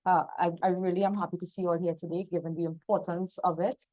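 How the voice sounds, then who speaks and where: Trinidadian woman, panel moderator, lowish voice, formal introductions. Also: flat delivery, fast. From Trinidad and Tobago. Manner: warm formal introductions with fillers. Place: outdoor hot venue, hybrid session.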